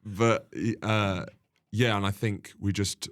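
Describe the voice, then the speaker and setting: A man in casual conversation as a podcast guest and comedian, wry and bantering, quick to laugh. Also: on the low side, fairly even intonation, a bit bright.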